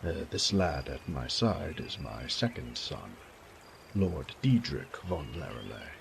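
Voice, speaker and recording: A man's voice: very deep voice, very animated, slow, vocal fry, slight background noise, exaggerated voice. A man playing a kindly elderly nobleman and father in an audio drama, effusive and genteel with old-fashioned phrasing. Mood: jovial, courteous. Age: older. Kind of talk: audio roleplay drama acting.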